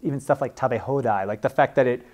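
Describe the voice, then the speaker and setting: A man's voice: mid-range voice, quick pace, a little mellow. A young man, a librarian, teaching a class in a casual, chatty way with frequent fillers and restarts. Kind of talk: informal classroom talk.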